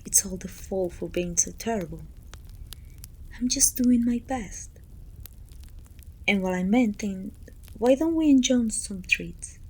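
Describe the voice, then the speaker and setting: A woman playing a pompous, self-pitying evil queen who talks down to her servant in an intimate, breathy ASMR roleplay, often dropping toward a whisper. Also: mid-range voice, animated, a little slow, long pauses, a little mellow, a little hiss or hum, low-quality recording. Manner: pompous, petulant, theatrical sighing complaints.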